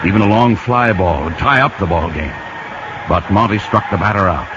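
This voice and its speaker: A man's voice: low-pitched, expressive, normal speed. An actor playing a folksy man who narrates a baseball story dramatically in a 1950s radio drama. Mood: excited, suspenseful. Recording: noisy, muffled old radio recording.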